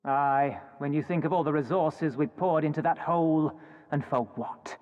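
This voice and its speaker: A man's voice: a little high, normal speed, close to an everyday voice. Game bit part: male actor as disgruntled officer, raised register, indignant grumble, muffled reverberant sound. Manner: indignant, complaining. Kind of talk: dramatic video game cutscene acting. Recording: dull sound in long reverb.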